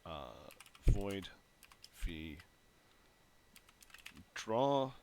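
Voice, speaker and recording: Male tutorial host, low-ish and unhurried, phlegmy throat, casual coding walkthrough. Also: big pitch swings, darkish tone, a little hiss or hum. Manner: thinks aloud while typing, frequent fillers and self-corrections. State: phlegmy, clearing his throat. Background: keyboard typing and clicks.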